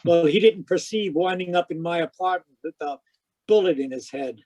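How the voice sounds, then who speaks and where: Guy doing wry hard-boiled radio detective, voice on the higher side, close dry sound. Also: normal speed, darkish tone, dry recording, close to an everyday voice, low-quality recording. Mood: pressing. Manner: wry, matter-of-fact storytelling. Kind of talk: old-time radio detective narration and dialogue. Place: close dry sound, remote live performance.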